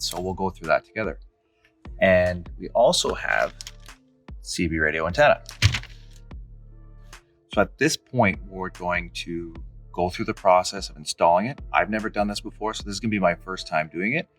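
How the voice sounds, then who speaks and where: Low-voiced man giving a DIY walkthrough. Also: lively intonation, moderate pace, fairly bright tone, faint music behind. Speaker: host of a DIY Jeep how-to channel. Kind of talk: casual step-by-step tutorial narration. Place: indoor garage with high ceiling, in and around a car.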